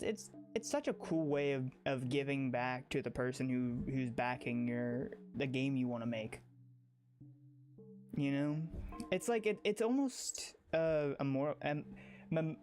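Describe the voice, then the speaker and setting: Excitable, rambling game streamer with music behind. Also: mid-range voice, measured pace. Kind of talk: casual livestream chat. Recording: music under the voice.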